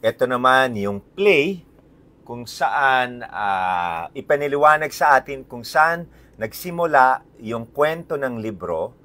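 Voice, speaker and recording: A man with a Filipino accent informally presenting a book's illustrations, often pausing mid-sentence to find his words. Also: mid-range voice, lively intonation, bright, forceful tone. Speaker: read-aloud presenter. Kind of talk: informal commentary showing book illustrations.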